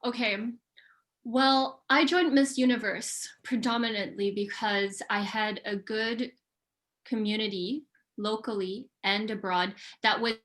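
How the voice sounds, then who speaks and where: A young woman from the Philippines, a pageant contestant answering interview questions over a video call in an articulate, measured way, thinking aloud with small fillers. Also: medium pitch, fairly even intonation, a bit bright. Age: young adult.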